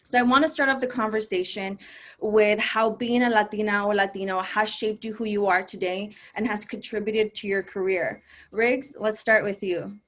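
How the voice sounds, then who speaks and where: Woman hosting a panel, upbeat and polished, middle pitched voice. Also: steady tone, moderate pace, a bit bright. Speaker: panel moderator.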